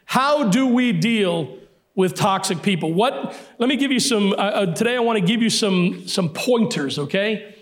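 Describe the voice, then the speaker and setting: A middle-aged male pastor preaching a sermon emphatically, repeating his key phrases, his voice sometimes hoarse. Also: high voice, expressive, normal speed.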